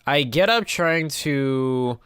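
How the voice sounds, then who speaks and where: A man's voice: mid-range voice, expressive, slow, a bit bright, clean tone, no room sound, clean studio sound. A man hosting a gameplay video, reading visual novel text aloud and dropping in informal asides. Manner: informal, reacts to the text he reads.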